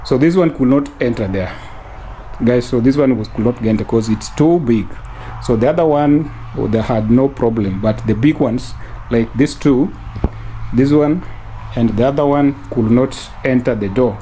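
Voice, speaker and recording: Man from Kenya, hesitant, lots of fillers, mid-pitched voice, outdoors with clear noise. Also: warm tone, low-quality recording. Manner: halting, many fillers and restarts. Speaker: vlogger touring a house. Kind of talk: casual walkthrough vlog. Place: outdoors at a rural house. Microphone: handheld camera.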